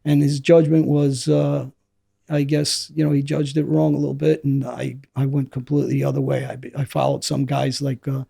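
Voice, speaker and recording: Middle-aged New man, hoarse and gravelly, dark and husky, rambling candid storyteller. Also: mid-range voice, flat delivery, moderate pace. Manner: rambling, repeats words and restarts often. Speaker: interview guest.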